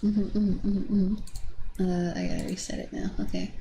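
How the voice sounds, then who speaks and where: A woman livestreamer chatting casually and playfully with her viewers, often softening toward a whisper. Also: medium pitch, big pitch swings, normal speed, slight background noise. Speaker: livestreamer, VTuber host.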